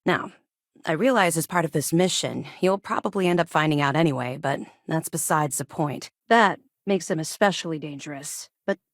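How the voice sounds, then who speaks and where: A woman's voice: sits low, normal speed, dry recording, studio quality. A woman playing a tough, driven female military officer in a space game, speaking in a firm, businesslike manner. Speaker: first mate and mission handler. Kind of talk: video game dialogue acting.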